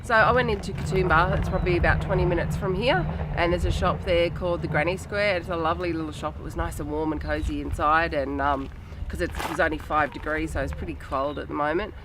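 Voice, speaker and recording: A woman's voice: deep voice, fast, hardly any pauses. A woman, nasal and getting over bronchitis, chatting casually as a podcast host while showing off her yarn. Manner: chatty, rambling, frequent ums. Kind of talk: casual show-and-tell chat. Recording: steady background noise.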